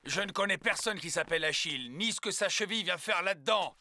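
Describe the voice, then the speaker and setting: A man's voice: sits high, animated, fast, sharp tone, no room sound, studio quality, full character voice. A man playing a young, comically literal football teammate in a cartoon, with a puzzled, doubtful manner. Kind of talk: dubbed cartoon dialogue. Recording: music behind the voice.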